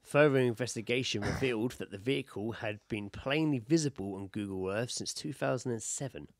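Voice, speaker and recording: A man's voice: medium pitch, fairly quick, dry recording. A man co-hosting a podcast, chatting casually and reading out odd news stories in an informal, sweary way. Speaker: podcast co-host.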